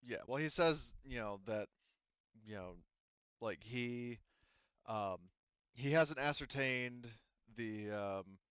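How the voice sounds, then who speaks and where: A man acting as game master, narrating a tabletop game in a casual, halting way and relaying what characters say. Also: medium pitch, a bit bright, smooth, no room sound, lo-fi sound. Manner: hesitant, casual, relaying character speech indirectly.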